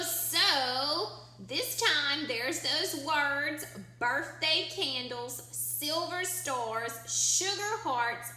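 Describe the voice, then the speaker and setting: A woman reading a picture book aloud to children like a teacher, expressive and encouraging, drawing out key words and putting questions to her listeners. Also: sits high. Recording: echoey room, faint background noise.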